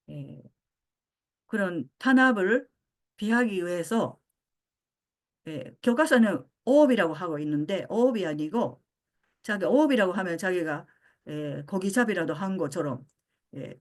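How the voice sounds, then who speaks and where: An older woman born in Japan giving a philosophy lecture, pausing often with fillers as she searches for words. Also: medium pitch. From Japan (Osaka). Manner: frequent hesitation fillers, halting.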